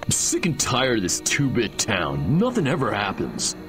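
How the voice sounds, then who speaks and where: A man's voice: fairly low pitch, huge pitch swings, normal speed, music in the background. A man with an American accent playing a restless, dim 1950s teenage rebel in a video game, overwrought and melodramatic.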